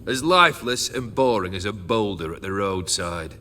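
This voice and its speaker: Olgierd, a jaded sardonic noble. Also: male voice, fairly low pitch, lively intonation, moderate pace, bright, forceful tone, slight background noise. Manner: languid, sardonic, drawling. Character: cursed jaded male noble bandit leader. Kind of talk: game cutscene voice acting. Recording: rowdy crowd of revelers behind.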